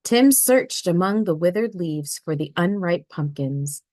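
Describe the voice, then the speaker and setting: A woman reading a children's picture book aloud in an expressive storybook manner. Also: lowish voice, unhurried, no echo. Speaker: read-aloud narrator.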